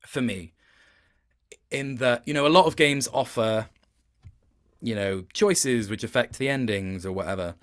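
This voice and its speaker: A man's voice: mid-range voice, measured pace, pauses often. Male interviewee, casual and reflective, thoughtful delivery that doubles back while finding the right words. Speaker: interview guest, voice actor.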